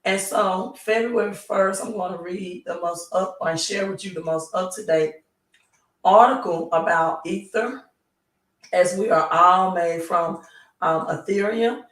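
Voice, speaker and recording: A hoarse woman hosting a live stream, talking slowly and pausing often to ask "right?". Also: fairly low pitch, fairly bright tone. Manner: slow, halting, repeats "right?". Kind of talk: casual live stream talk. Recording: roomy, distant mic.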